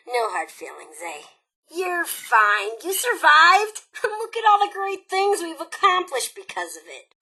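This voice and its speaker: A woman's voice: very high-pitched, very animated, takes it slowly, sharp tone, heavily acted, rough recording. A woman voicing a psychotic, gleefully violent female robot raider in a video game, sardonic and flippant, with mock-sweet menace. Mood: smug, menacing. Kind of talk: video game character dialogue.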